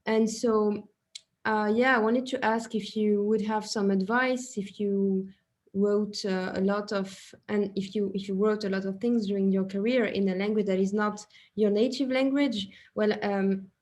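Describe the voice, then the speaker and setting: A woman's voice: mid-range voice, steady tone, a little slow, darkish tone. A young woman with a French accent asking a question hesitantly on a video call, with many fillers and restarts. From France. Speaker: early-career researcher asking a question. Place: small office with shelves, indoors.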